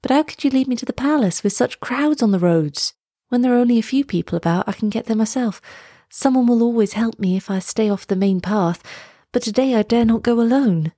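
A woman's voice: fairly high pitch, a little fast, warm tone, no echo, studio quality, pitched above the performer's own voice, natural voice. A woman voicing an earnest, polite young girl who speaks with pleading emotion in a children's storybook. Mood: anxious, polite. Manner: pleading, exclamatory.

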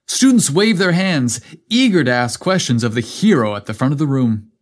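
A man's voice: mid-range voice, big pitch swings, normal speed. A man reading a scripted story aloud as its storyteller.

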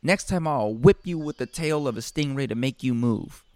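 A man's voice: medium pitch, lively intonation, normal speed, a little mellow, no echo, voice pushed up, close to an everyday voice. A man voicing a spiteful, bullying woman from a fairy-tale stepfamily, sneering and scolding in storybook style. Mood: threatening, scolding.

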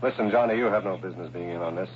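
An American man playing a brisk, gruff police lieutenant who gives clipped orders in a 1960s radio drama. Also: low voice, close to an everyday voice. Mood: tense, cautious. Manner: authoritative, emphatic, clipped orders. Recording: thin, narrow, noisy old radio broadcast.

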